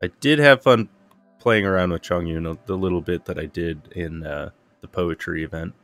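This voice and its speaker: A man's voice: low-pitched, normal speed, fairly bright tone. A man giving casual gameplay commentary as the host of a gaming video. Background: game music.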